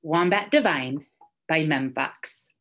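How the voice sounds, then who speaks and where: Young woman reading a story, very expressive. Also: sits low, slow pace. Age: young adult. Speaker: teacher reading aloud. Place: small classroom, indoors, by a whiteboard.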